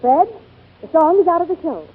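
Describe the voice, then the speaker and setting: Haughty stage diva, high-pitched. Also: female voice, lively intonation, a little fast. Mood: scornful. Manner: imperious, scornful. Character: haughty, fiery female stage star. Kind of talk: 1940s radio acting. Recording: tinny, lo-fi 1940s radio broadcast.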